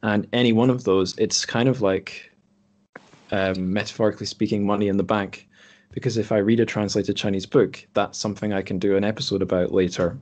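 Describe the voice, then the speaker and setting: A man with a low-pitched voice hosts a podcast conversation. He talks casually and reflectively, wandering through his thoughts as he goes. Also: steady tone, fairly quick, a little mellow, a little hiss or hum. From Scotland. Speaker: podcast host. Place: group online call.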